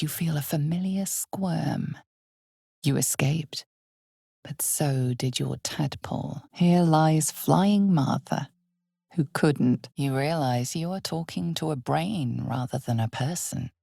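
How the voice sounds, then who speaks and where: A woman voicing a solemn, ominous narrator of a dark fantasy video game, with measured, dramatic storytelling. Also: deep voice, gravelly, breathy edge, no room sound, studio quality, full character voice. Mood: solemn, intimate. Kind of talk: scripted second-person fantasy game narration.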